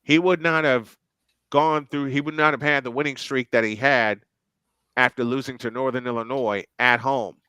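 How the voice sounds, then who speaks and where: Male play-by-play caller, chatty between plays, crisp and dry. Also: mid-range voice, steady tone, normal speed, bright, forceful tone, no room sound. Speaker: play-by-play commentator. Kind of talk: live football commentary.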